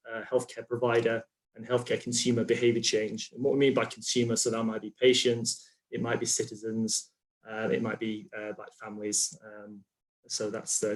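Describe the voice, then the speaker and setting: British male researcher, video-call lecture, hesitant filler-heavy delivery, mid-range voice. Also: medium pitch. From United Kingdom. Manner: frequent ums and uhs, restarts, explanatory. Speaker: postdoctoral researcher giving a conference talk. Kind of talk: academic presentation over video call.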